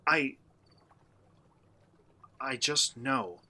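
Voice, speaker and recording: An actor playing an earnest, guarded young outsider in a radio play, asking questions in a measured, hesitant way. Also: male voice, mid-range voice, bright, forceful tone, full character voice. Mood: earnest, probing. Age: young adult.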